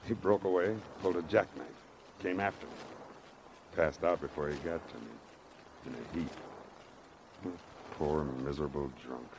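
An actor in an old-time radio drama playing a weary, earnest former boxer who speaks plainly in short, halting sentences. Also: male voice, rumbling low, creaky, darkish tone, full character voice. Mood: defensive. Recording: noisy vintage radio broadcast recording.

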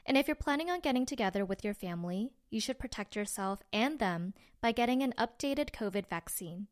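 A woman reading a public service ad in a calm, persuasive way. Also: a little high, brisk. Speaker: ad announcer.

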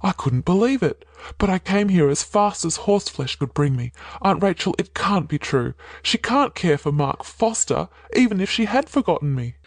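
A woman's voice: lowish voice, lively intonation, normal speed, hardly any pauses, no echo. A woman reading an audiobook, voicing an earnest young man who pleads urgently and then speaks firmly. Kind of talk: audiobook character voice.

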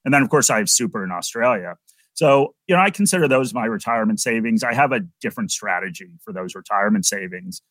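A middle-aged American man, a podcast guest, explaining investing in a relaxed, conversational way with many "you know"s. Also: on the low side, fast talker, no room sound. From United States. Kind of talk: podcast interview.

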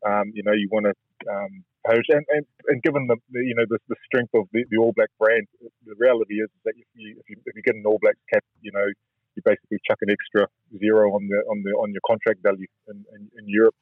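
Brief: New man, radio guest over a tinny phone line, husky, quick and halting, flat delivery. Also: on the low side, dry recording. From New Zealand. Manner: halting, restarts often, searching for words. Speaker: rugby guest interviewee. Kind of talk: radio interview.

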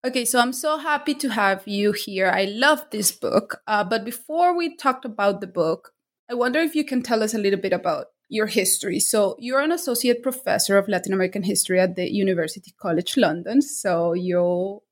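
A woman hosts a book interview, leading with long, carefully built questions. Her fairly high voice sounds enthusiastic and a little formal. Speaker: podcast host, interviewer. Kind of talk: interview hosting with a read introduction.

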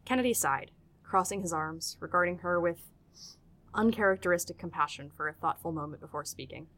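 Woman narrator for an audio drama, kinda low voice, measured dramatic storytelling. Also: fairly bright tone. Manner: measured, literary storytelling delivery.

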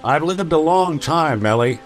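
An actor playing a weary, wry old android detective in a noir radio drama. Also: male voice, fairly high pitch, animated, slight background noise. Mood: weary grief. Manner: wry, slow, world-weary.

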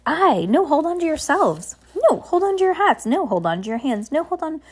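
A woman's voice: sits high, very animated, voice pushed up. A woman voicing a cheerful, scattered, enormous man in a storybook, who rattles off contradicting commands. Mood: bossy, scatterbrained. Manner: rapid self-contradicting commands.

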